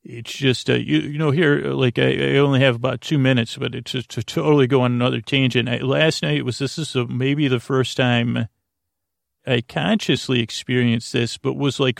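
A man hosting a sleep podcast in a rambling, halting monologue, trailing off and interrupting himself with whimsical, self-deprecating humor. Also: medium pitch, fairly even intonation, gravelly, no room sound, clean studio sound. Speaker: podcast host.

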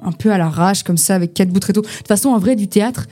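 Chatty young Frenchwoman, mellow voice. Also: medium pitch, fast. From France, Vosges. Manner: chatty, many restarts and fillers. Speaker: podcast guest, actress and poet. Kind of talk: casual chat. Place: informal gathering over beer.

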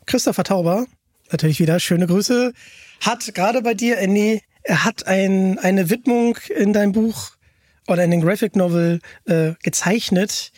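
A man's voice: sits high, normal speed, darkish tone, no echo, clean studio sound. A man hosting a podcast in a casual, conversational style. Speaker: podcast host.

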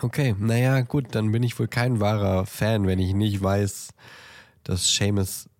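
A man's voice: lowish voice, flat delivery, a little slow, darkish tone, dry recording, clean studio sound. A man co-hosting a podcast in casual, teasing, mock-serious banter. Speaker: podcast co-host. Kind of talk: casual banter between co-hosts.

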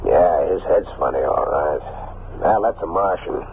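A man playing a gruff, wary prospector father guarding his claim in an old-time radio drama. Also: low voice, measured pace. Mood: low, tense. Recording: tinny vintage radio recording, faint noise.

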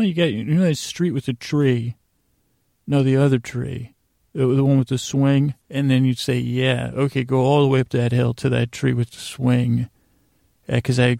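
A man hosting a solo bedtime podcast in a meandering, halting monologue, often interrupting himself and drifting into asides. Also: mid-range voice, a little mellow, dry recording, clean studio sound. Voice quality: gravelly, creaky. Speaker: podcast host.

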